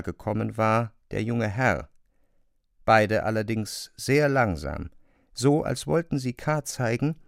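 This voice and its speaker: Creaky-voiced male literary narrator. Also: on the low side, dry recording, studio quality. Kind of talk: literary audio drama narration.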